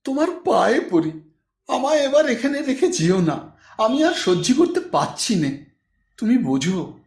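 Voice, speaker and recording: An audiobook portrait of a worn-down, reproachful middle-aged wife, acted by a man. The voice is high and plaintive, tearful and pleading, with wide swings of pitch in an echoey room. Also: a little mellow, full character voice. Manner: pleading, nagging complaint. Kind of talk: audiobook character acting.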